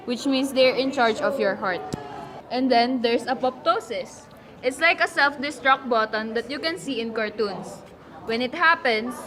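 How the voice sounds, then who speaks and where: A woman's voice: high voice, bright, forceful tone. A woman playing a nurse who teaches science in a scripted puppet show. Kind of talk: scripted educational puppet dialogue.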